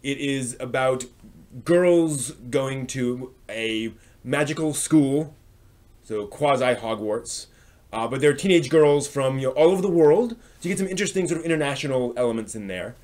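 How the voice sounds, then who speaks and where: Male live stream host, unscripted reviewer, mid-pitched, conversational, thinks aloud and rephrases as he goes. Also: darkish tone. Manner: halting and discursive, circles back to rephrase. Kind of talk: casual unscripted review commentary.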